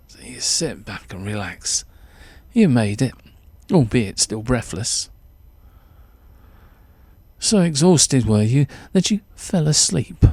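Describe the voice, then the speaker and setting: A man's voice: mid-range voice, warm tone, dry recording. A man narrating a suspenseful short story in the second person, with theatrical delivery.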